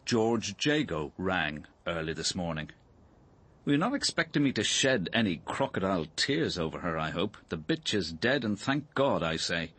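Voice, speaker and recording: A man's voice: deep voice, sing-song, normal speed, a bit bright, breathy edge, exaggerated voice. An actor playing a bitter, defiant father under police questioning in a radio drama, curt and brusque. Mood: bitter defiance.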